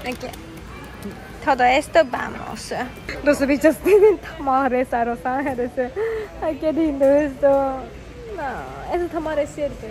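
A Japanese woman vlogging at an airport in careful, halting Spanish full of fillers. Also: sits high, big pitch swings, a little mellow. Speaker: travel vlogger. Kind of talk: casual travel vlog narration. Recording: noisy airport background.

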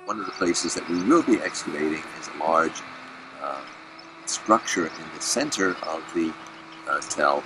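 A man leading an archaeological excavation, explaining the site in a measured way with many hesitant fillers. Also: a bass voice, animated, background noise. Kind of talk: documentary explanation.

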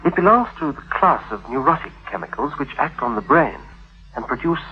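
Swooping, nervous young tutor, old radio. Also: male voice, medium pitch, sing-song, brisk, slightly rough. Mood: pedantic, insinuating. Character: young male tutor and lover, nervous, pedantic. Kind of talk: 1950s radio drama acting. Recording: muffled vintage 1950s radio recording.

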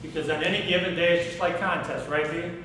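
Middle-aged man, workshop speaker, high bright voice, animated, echoey conference room, far from the camera. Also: fast talker, slight background noise. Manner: animated, gestures, asks audience questions. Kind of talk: public speaking workshop talk. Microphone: far camera, no mic seen.

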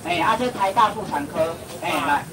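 Young man, Taiwanese accent, fast market-auction patter, heard from a distance over a noisy crowd. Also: sits high. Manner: loud sing-song price calling, bantering with the crowd. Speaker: seafood auctioneer. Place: crowded outdoor seafood market under tents.

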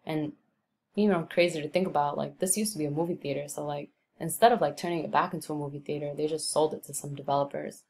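A young woman from Brooklyn, New York, narrating a screen-recorded tour of her neighborhood in a relaxed, rambling way with many ums and likes. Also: low voice, fast talker, a little hiss or hum.

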